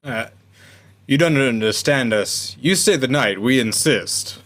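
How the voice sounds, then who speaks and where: A man's voice: medium pitch, lively intonation, unhurried, fairly bright tone. A man playing a proud, jovial old small-town father with a hearty, folksy manner in a sci-fi radio play. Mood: insistent.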